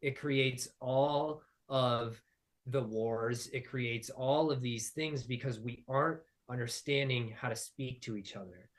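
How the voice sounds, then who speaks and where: Male podcast guest, mid pitch, long rambling abstract musings. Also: slow. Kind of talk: casual spiritual conversation.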